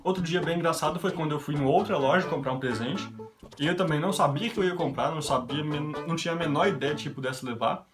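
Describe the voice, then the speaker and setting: Young man, Brazilian vlogger, chatty anecdotes, slightly high voice, guitar music behind. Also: fast. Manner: chatty anecdotal, quoting others in his own voice. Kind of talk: casual storytelling vlog. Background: background guitar music.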